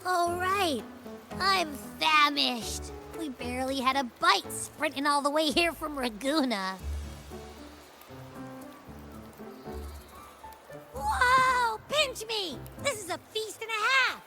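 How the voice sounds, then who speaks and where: A woman's voice: extremely high pitch, lively intonation, measured pace, pushed and bright, faint music behind, full character voice. A woman voicing a small, cheeky, food-loving creature companion in a video game, chatty, playful and given to exclamations. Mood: excited, hungry. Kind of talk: animated video game character acting.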